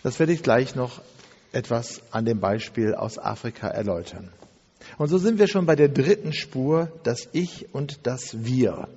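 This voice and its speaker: A man lecturing in a measured, methodical way, building his points through piled-up phrases. His voice is dark and a little husky, and it sounds dull as it rings through a hall with long reverb. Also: mid-range voice, expressive. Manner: measured, listing phrases one after another with occasional fillers. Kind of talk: spoken lecture.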